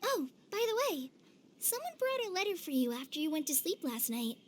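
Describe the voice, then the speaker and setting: A woman's voice: very high-pitched, very animated, fairly quick. A woman voicing a sweet, modest teenage girl ranger trainee in a video game, speaking politely and earnestly. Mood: sweet, eager. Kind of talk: animated video game dub. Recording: music behind the voice.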